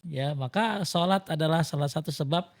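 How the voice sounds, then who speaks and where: Higher-pitched male religious teacher. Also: fairly quick. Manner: didactic, asks questions then answers them, emphatic repetition. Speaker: religious teacher giving advice as a guest. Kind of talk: informal Islamic teaching in a group conversation.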